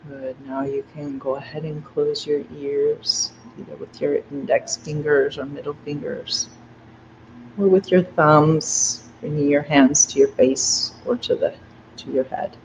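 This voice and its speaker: A meditation guide leading a guided breathing meditation in a soft, gentle, unhurried way. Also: medium pitch, fairly even intonation, noisy background. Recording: dull lo-fi recording with faint ambience.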